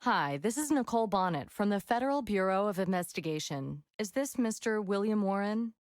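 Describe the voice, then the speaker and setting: A woman's voice: mid-range voice, lively intonation, brisk, natural voice. Woman voicing a persistent FBI agent, distant. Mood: polite. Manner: polite, probing questions. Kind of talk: video game voice acting.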